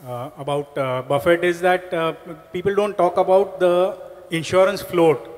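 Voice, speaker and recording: Brief: panelist, slightly high voice, halting with fillers, hall reverb. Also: male voice, steady tone. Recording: reverberant hall, lapel mic.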